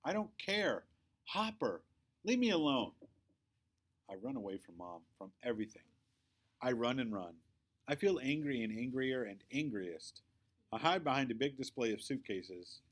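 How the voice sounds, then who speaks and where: A man voicing a sullen, defiant young boy who tells his own hurts in a children's novel read aloud, expressive and aggrieved. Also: medium pitch, normal speed, long pauses.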